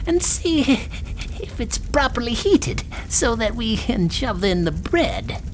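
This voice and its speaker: A woman voicing a shrill, sly and cruel old witch in a storybook reading, with a mocking, drawn-out delivery. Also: very animated, a little hiss or hum. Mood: scheming. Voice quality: shrill, cackling.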